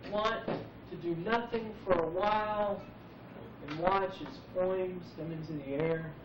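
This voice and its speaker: A man in his forties speaking as a poet at an open mic, musing reflectively and unhurriedly with dramatic pauses. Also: sits high, fairly even intonation. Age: 40s. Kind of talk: live spoken-word musing. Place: live open mic venue, roomy. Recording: muffled noisy VHS recording, echoey, distant.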